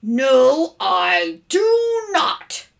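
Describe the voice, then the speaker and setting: A woman's voice: high-pitched, huge pitch swings, extremely slow, creaky, pitched above the performer's own voice, heavily acted. A woman voicing an angry, grumpy father in a children's storybook, emphatic and indignant. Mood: bellowing. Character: angry, exasperated grumpy father, adult man. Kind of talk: children's storybook read-aloud.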